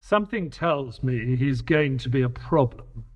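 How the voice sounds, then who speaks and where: An actor playing an earnest detective's companion and narrator in a scripted audio drama. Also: male voice, medium pitch, lively intonation, a little slow, breathy edge, dull audio. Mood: curious, wry.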